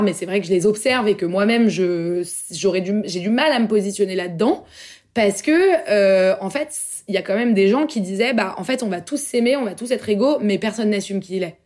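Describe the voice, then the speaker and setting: A woman's voice: mid-range voice, a little mellow. A young woman from Paris, a guest in a casual round-table chat, talking loosely with slang and many hesitations. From Paris, France. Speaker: podcast guest.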